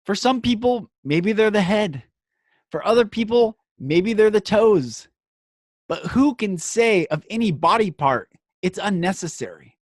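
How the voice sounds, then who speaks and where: A man hosting a podcast, speaking in an earnest, emphatic inspirational monologue broken into short phrases with dramatic pauses. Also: sits high, expressive, dry recording, clean studio sound.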